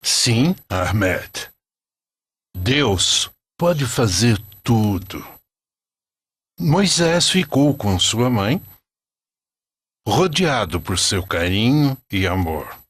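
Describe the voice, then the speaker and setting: Animated storybook narrator: male actor as devout elderly grandfather, patient, lowish, slow, very expressive intonation. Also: slightly rough, no echo, heavily acted. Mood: solemn storytelling. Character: devout, patient old grandfather telling sacred stories.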